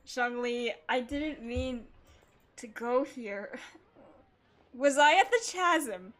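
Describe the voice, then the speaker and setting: A woman's voice: a little high, takes it slowly, fairly bright tone, soft background music. A woman hosting a casual gaming livestream, chatting playfully as she comments on the game and reads bits of dialogue aloud. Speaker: livestream host.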